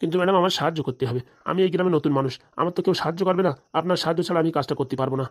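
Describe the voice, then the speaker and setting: Woman playing low, rapid-talking male schemer. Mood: persuasive. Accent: rural Bengali. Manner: persuasive, boastful. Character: smooth-talking scheming male election fixer. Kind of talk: comedy cartoon dialogue.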